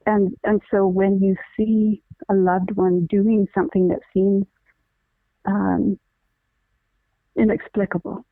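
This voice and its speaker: A woman's voice: mid-range voice, flat delivery, slow, pauses often, no echo. A middle-aged woman, a lawyer and guest, explaining things earnestly in a conversational interview with frequent restarts. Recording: tinny phone-line sound, dry.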